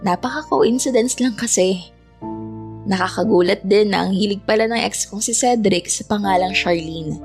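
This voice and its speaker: An actress playing a gentle young Filipino woman who narrates her own story in a confiding, reflective way. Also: medium pitch, moderate pace, soft background music, natural voice. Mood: amused, reflective. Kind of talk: scripted first-person story narration.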